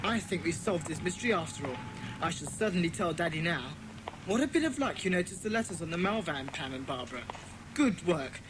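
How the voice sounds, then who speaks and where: A woman's voice: on the low side, animated, a little fast, background noise, lightly acted. A woman playing an earnest, decisive boy who leads child detectives in a dramatised children's audiobook. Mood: earnest, deducing. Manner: decisive, instructing.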